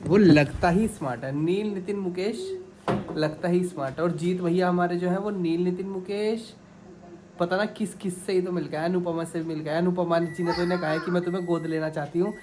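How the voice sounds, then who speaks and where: A man's voice: high voice, steady tone, brisk. Chatty male vlogger, noisy phone audio. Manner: chatty, playful, teasing. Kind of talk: casual daily vlog chat. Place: at home indoors.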